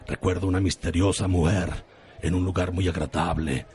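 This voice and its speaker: Man acting a deep-voiced, dim-witted soap hero. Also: fairly even intonation, normal speed, a little mellow, slight background noise, natural voice. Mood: earnest, dreamy. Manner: melodramatic, naive. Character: dim-witted, earnest soap-opera gentleman lover. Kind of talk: absurd parody radio soap opera acting.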